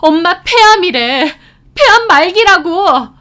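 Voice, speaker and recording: A woman voicing an outraged, distraught younger sister shouting reproaches in a dramatized story. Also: high-pitched, animated, normal speed, voice pushed up. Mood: bitter, distraught.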